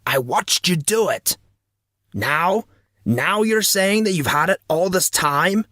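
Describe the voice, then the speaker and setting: A furious teenage boy, acted out by a male narrator, hot-tempered and accusing. His voice is pushed up high and lands hard on each charge. It is recorded close and dry, as in a dramatic fantasy audiobook. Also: animated, slow pace, sharp tone, dry recording, heavily acted. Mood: enraged. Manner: heated, emphatic, accusing. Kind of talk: audiobook character acting.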